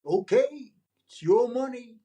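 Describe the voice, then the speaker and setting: A man's voice: very high-pitched, lively intonation, takes it slowly, warm tone, full character voice. An actor playing a furtive, laconic male racetrack tout who talks in clipped, confiding phrases in a radio comedy. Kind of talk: 1940s radio comedy acting.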